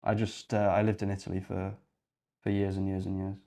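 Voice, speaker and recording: A man's voice: low-pitched, completely flat delivery, a little fast, warm tone. A young man from Yorkshire, chatting casually and thinking aloud while hosting a coding livestream. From Yorkshire, England. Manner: rambling, thinking aloud while clicking around.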